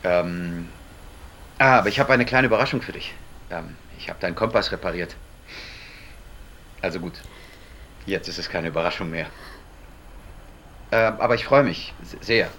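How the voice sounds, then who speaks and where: Fond, awkward middle-aged dad, lowish voice, hesitant rambling, noisy voice-message recording for a radio play. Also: male voice, normal speed, long pauses, a bit bright. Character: affectionate, awkward, gently teasing father. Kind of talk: naturalistic audio drama voice message. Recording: voice message with background noise.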